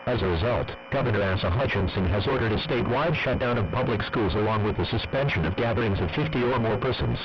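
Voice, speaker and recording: A synthetic text-to-speech man reading a formal scripted emergency broadcast in a flat, measured, impersonal way. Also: lowish voice. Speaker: emergency alert announcer. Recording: dull lo-fi audio, loud radio noise.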